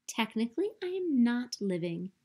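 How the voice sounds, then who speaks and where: A woman voicing a gentle, polite female robot mother who speaks in a measured, formal way. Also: on the high side, sing-song, husky, voice pushed up.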